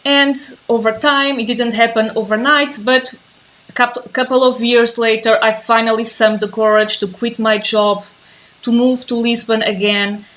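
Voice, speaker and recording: Calm woman teaching a live class. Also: fairly high pitch, normal speed. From Azores, Portugal. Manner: calm, patient, repeats herself while waiting for viewers. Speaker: yoga and mindfulness teacher. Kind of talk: live-streamed class introduction.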